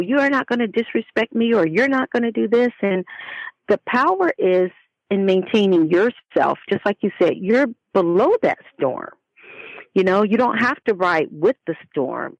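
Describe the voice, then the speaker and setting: A middle-aged woman speaks as a guest author in a conversational interview, phrasing earnestly and deliberately with emphatic pauses. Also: mid-range voice, no echo, dull audio. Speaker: children's book author, guest.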